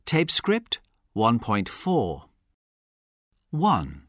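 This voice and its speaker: A man announces track numbers for a language course in a formal, measured voice. He speaks very slowly, with his pitch moving widely. The studio is dry. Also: huge pitch swings, a bit bright, no room sound. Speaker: course narrator.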